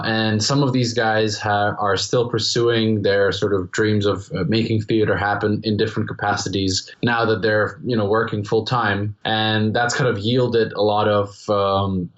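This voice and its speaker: A young man from the Czech Republic speaks English with a strongly Americanized international-school accent, his first language being Czech. His voice sits on the lower side, and the recording is dull and lo-fi. He rambles casually, full of fillers and restarts. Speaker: guest musician.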